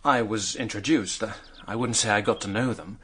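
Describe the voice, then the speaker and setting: An actor playing a down-at-heel, once genteel British village drinker in a radio drama, sardonic, hesitant and defensive. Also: male voice, lowish voice, moderate pace, fairly bright tone, slight background noise, lightly acted. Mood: rueful, reminiscing.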